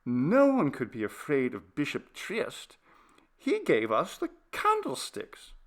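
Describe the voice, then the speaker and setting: Man voicing a high, gravely polite child. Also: wildly swooping pitch, slow pace, heavily acted. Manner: polite, grave, matter-of-fact. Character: grave, polite, eerily composed English child. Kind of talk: literary ghost story reading.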